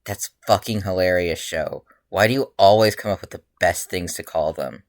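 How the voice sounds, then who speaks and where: A low-voiced reader voicing a gleeful, chaotic, teasing adult male hero with an exuberant, crowing manner. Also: no room sound. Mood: amused. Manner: exuberant, cackling, drawn-out crowing.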